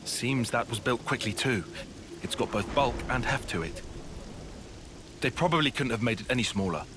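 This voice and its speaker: Man acting a measured, analytical British soldier. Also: mid-range voice, animated, noisy background, natural voice. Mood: matter-of-fact. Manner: measured, matter-of-fact. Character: calm, analytical young male soldier. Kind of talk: video game cutscene acting.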